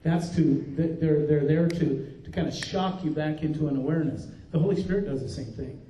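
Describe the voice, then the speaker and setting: A man's voice: fairly high pitch, a little fast, warm tone, slight background noise. An older man, a pastor, giving an earnest, folksy workshop talk with frequent restarts. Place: conference room. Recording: dull, roomy recording from a distance.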